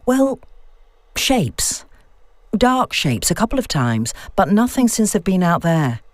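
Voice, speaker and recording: A woman's voice: mid-range voice, very animated, slow, a little mellow, dry recording. A woman voicing an uneasy middle-aged woman, curious and wary, in an audiobook story. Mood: hesitant. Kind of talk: audiobook dialogue.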